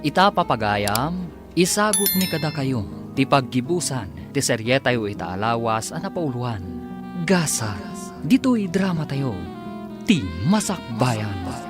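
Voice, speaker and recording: A man's voice: medium pitch, very animated, measured pace. A man announcing a radio drama with scripted, dramatic narration. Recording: radio broadcast with loud music bed.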